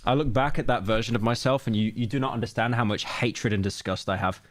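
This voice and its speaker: A man in his late twenties streaming a reaction video, talking casually and rambling, with self-deprecating asides and sudden loud outbursts. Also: medium pitch, fast talker, dry recording. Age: late 20s.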